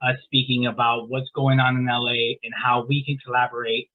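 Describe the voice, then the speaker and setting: Man, from San Diego, video call, phone-line audio, flat monotone, halting with fillers. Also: medium pitch. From San Diego, California. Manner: halting, many ums and restarts. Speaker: veterans legal services coordinator. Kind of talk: online community meeting update.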